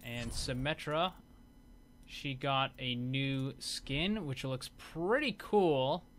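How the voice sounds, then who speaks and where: A man's voice: mid-range voice, takes it slowly, a bit bright. A man giving casual, off-the-cuff commentary as host of a gaming showcase video.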